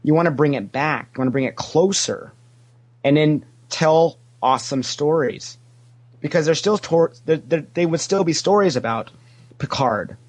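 A man's voice: on the high side, normal speed, husky. A man speaking as a podcast guest in casual fan discussion, opinionated and emphatic, repeating phrases to drive his points home.

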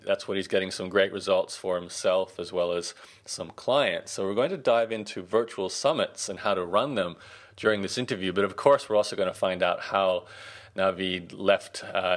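A man hosting a podcast, chatting casually in a friendly, rambling way with frequent restarts. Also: on the low side. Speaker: podcast host. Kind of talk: casual interview chat.

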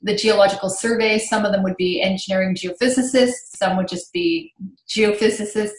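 A woman's voice: mid-range voice, a little fast. Canadian-raised woman explaining, in an echoey room. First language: bilingual French and English from childhood. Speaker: podcast guest, tech marketing executive. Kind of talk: conversational interview answers, explaining.

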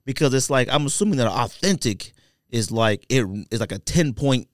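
A man's voice: medium pitch, expressive, normal speed, no echo, clean studio sound. An American man hosting a podcast in casual chat, with a slangy, opinionated way of talking. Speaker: sneaker podcast host.

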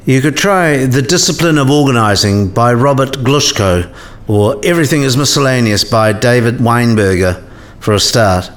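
A man acting in a scripted radio play as a learned professor, precise and didactic, with a dry, gently sceptical manner. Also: medium pitch, normal speed, close to an everyday voice. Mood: dryly teasing. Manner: precise, didactic, dryly teasing.

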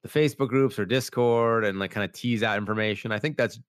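A man's voice: on the low side, quick pace, clear, resonant tone, no echo, clean studio sound. A young man hosting a casual chat, rambling with lots of fillers, his voice a little worn after being sick. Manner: rambling, many "like" fillers, acts out quoted lines. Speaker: podcast host.

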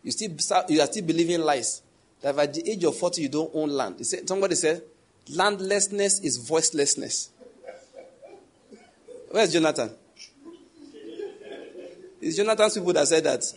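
A young adult man preaching, his voice pitched a little high with a husky edge. He presses each point hard, repeats key phrases and keeps throwing questions back at the congregation. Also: lively intonation, moderate pace, pauses often. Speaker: pastor. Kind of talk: sermon.

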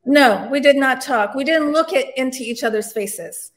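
A woman from Turkey, a guest on the show, telling a personal story in an earnest, reflective way with frequent pauses. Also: high-pitched.

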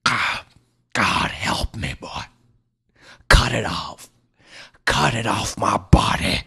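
A man's voice: fairly low pitch, animated, slow, gravelly, sharp tone, breathy edge, pitched above the performer's own voice, full character voice. A man voicing a dying, bitter old man who gurgles and speaks in a harsh, breathless whisper. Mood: pleading. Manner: breathless, cracking voice.

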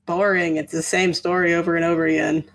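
A woman in her twenties chats on a podcast with a fairly low voice. She talks casually, leaning on fillers. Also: little pitch movement, fast. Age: 20s. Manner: chatty, filler-heavy, says "like" often. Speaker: podcast co-host.

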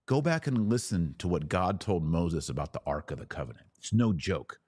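A man hosting a podcast, giving an emphatic theological teaching talk with rhetorical questions and dramatic pauses. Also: deep voice, very animated, darkish tone, breathy edge. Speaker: podcast host.

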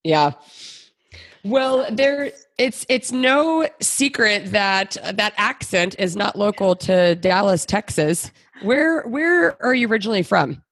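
A female interview host with a gravelly, slightly raspy voice. She speaks in an upbeat, encouraging way, drawing her guest out. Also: mid-range voice, lively intonation, takes it slowly. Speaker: podcast host. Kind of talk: conversational interview.

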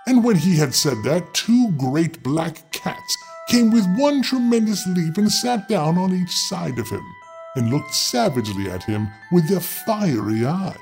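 A man tells a dark fairy tale in a dramatic, impish way. His voice sits a little high and swoops through a wide range. Music plays behind him. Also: very animated, unhurried, gravelly. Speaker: storyteller, host. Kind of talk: theatrical fairy tale narration with playful asides.

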